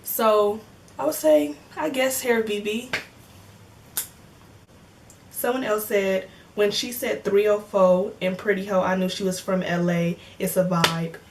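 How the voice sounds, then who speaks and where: A woman's voice: mid-range voice, fairly even intonation, measured pace, recorded from a distance, a little hiss or hum. A woman of twenty in casual chat, answering viewer comments in a joking, slangy way and laughing often. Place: home filming setup with cameras and lights.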